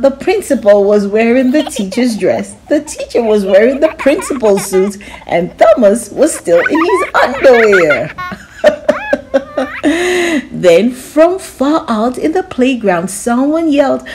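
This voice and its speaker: A woman hosting a storytime channel, reading a children's picture book aloud slowly with lots of giggles and a bouncy delivery. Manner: slow, lively, giggly reading with big pitch swings.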